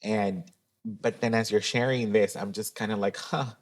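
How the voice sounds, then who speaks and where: A man's voice: on the low side, steady tone, moderate pace. A young man co-hosting a podcast, talking in a reflective, heartfelt way and slipping Spanish into his English. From grew up in a Salvadoran family in the US. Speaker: podcast co-host. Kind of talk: casual heartfelt chat.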